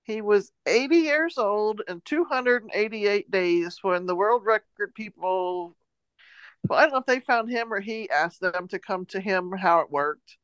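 A woman's voice: mid-range voice, unhurried, fairly bright tone, smooth, no echo, rough recording. American woman chatting in a dry, close room. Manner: loose, meandering storytelling. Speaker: podcast co-host. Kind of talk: casual, informal news retelling.

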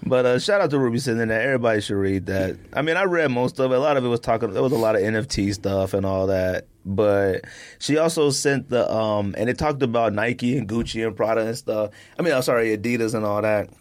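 A man's voice: on the low side, quick pace. A man co-hosting a podcast, chatting casually about sneakers and sports in a slangy, opinionated way. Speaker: podcast co-host.

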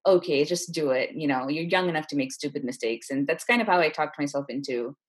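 Low, slightly husky woman chatting casually. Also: brisk. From Chennai, India. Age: 30s. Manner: informal, chatty, often says "like". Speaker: interview guest, playwright. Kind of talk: casual conversational storytelling.

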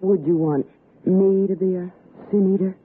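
An actress playing a scheming, cajoling city wife in a theatrical old radio suspense style. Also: female voice, mid-range voice, steady tone, moderate pace, slightly rough, narrow, tinny audio, lightly acted. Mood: pleading. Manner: coaxing, theatrical. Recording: thin, noisy 1960s radio recording.

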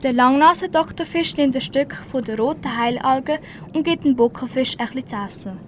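A teenage girl narrates an underwater children's story in a high voice. She has a Swiss German accent and reads from a script, with faint watery ambience behind her. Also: a little fast, slight background noise. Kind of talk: scripted storybook narration, amateur school radio play.

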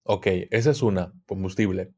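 A man's voice: sits low, moderate pace, warm tone, slightly rough. A man, a guest on a podcast, easygoing and hesitant, talking with plenty of fillers. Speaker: guest, occult video creator. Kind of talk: casual podcast interview.

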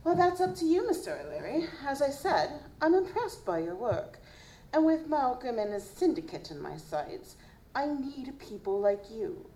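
A woman playing an elegant, composed vampire in a noir audio drama, formal, poised and deliberate. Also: sits high, sing-song, dark tone, poor audio. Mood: silky, persuasive. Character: elegant, composed female vampire who hunts monsters. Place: live stage performance at a convention. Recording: live stage, faint background noise.

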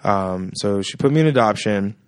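A man in his thirties, a musician guest on a podcast, telling stories in a casual, animated way and acting out the voices of people he quotes. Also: on the low side, normal speed, dry recording. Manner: animated storyteller who acts out quoted voices. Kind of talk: casual chat.

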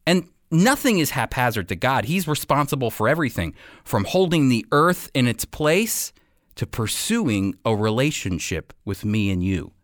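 A man's voice: medium pitch, big pitch swings, moderate pace, fairly bright tone, no echo, clean studio sound. A man with an American accent hosting a radio program, giving scripted Bible teaching and commentary in an earnest, emphatic way.

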